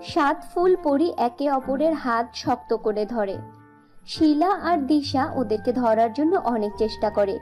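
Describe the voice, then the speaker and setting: Woman reading a kids fairy tale, high soft-toned mellow voice, quiet music behind. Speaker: storyteller, narrator. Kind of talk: scripted fairy-tale narration for children's cartoon.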